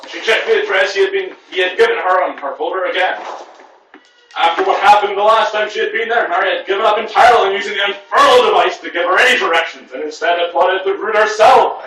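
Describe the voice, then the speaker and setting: A man with a high, bright voice reads prose aloud to a group. He stumbles, corrects himself and adds exasperated asides. The room is very echoey and he sits back from the microphone. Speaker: reader at a writers' group reading. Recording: echoey room, distant from the mic.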